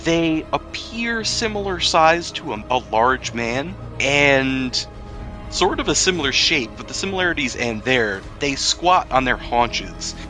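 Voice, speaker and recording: A man's voice: medium pitch, big pitch swings, measured pace, bright, forceful tone, faint music behind. A man improvising narration as the game master of a tabletop role-playing session.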